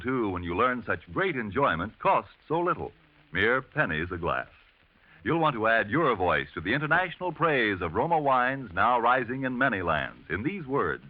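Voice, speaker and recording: A man's voice: mid-range voice, no room sound. A male announcer reading a 1940s radio advertisement in a polished, emphatic sales style. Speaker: commercial announcer. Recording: dry, tinny 1940s radio recording.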